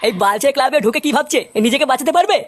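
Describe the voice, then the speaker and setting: An actor voicing a rowdy, menacing village man in a comic cartoon, taunting and threatening with a pitched-up voice. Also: lively intonation, racing. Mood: menacing taunts. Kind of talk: exaggerated cartoon dialogue.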